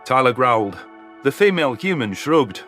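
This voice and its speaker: A man's voice: mid-range voice, big pitch swings, normal speed, a bit bright. Guy narrating a sci-fi audio story, scripted first person, music underneath.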